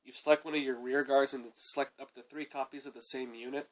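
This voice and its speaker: A young man talks through his card deck in a loose, rambling way, tacking "though" and "uh" onto most sentences. He has a cold, which makes his voice sound a bit off. His pitch sits in the middle for a man. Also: fairly even intonation, quick pace. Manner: rambling, repeated fillers like "though" and "uh". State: sick with a cold, coughing. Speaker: card game reviewer. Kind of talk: casual deck review.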